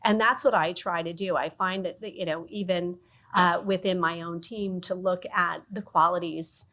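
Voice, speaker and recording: A woman's voice: fairly low pitch, fairly even intonation, moderate pace, pushed and bright. Thoughtful woman bank leader on a panel. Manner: thoughtful, restarts often, coaching tone. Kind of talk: panel discussion.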